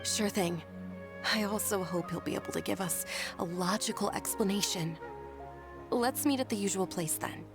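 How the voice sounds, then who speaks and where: A gracious, dutiful young noblewoman from a video game, voiced by a woman. She speaks at a middling pitch, composed and earnest, with clear music playing behind her. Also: moderate pace, natural voice. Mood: reassuring.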